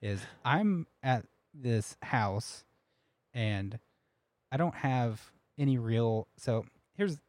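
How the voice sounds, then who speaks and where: A man's voice: mid-range voice, expressive, very slow, darkish tone, no room sound. A young man co-hosting a podcast, telling a story in a rambling, laughing way with lots of restarts. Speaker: podcast co-host. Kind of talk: casual storytelling chat.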